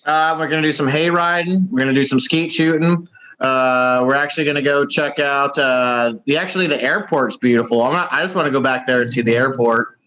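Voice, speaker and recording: A man doing a deadpan comedy bit in an exaggerated Southern US drawl, from West Virginia. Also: medium pitch, fairly quick, low-quality recording. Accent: put-on Southern US drawl. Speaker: comedy correspondent.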